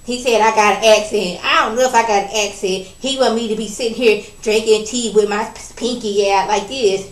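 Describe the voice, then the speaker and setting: A woman casually recapping a reality TV episode in a chatty, animated way, acting out what people said. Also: medium pitch, a little fast, few pauses. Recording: roomy, distant from the mic.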